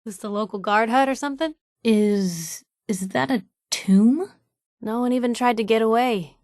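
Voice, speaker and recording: A woman's voice: on the high side, normal speed, a little mellow, dry recording, clean studio sound, close to an everyday voice. An actress playing a nosy, wisecracking young woman newspaper reporter as a video game companion, wry and sarcastic in manner. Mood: wry, curious.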